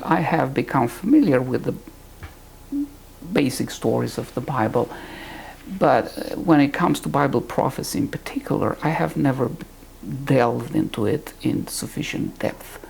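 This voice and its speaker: A man from Romania, giving an earnest, deliberate recorded teaching talk on the Bible and pausing to find his words. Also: mid-range voice, gravelly, darkish tone, a little hiss or hum.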